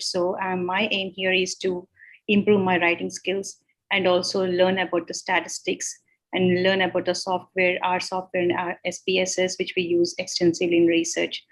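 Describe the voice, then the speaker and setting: A woman's voice: lowish voice, low-quality recording. A woman from India introducing herself as a research fellow on a video call, earnest, with many fillers.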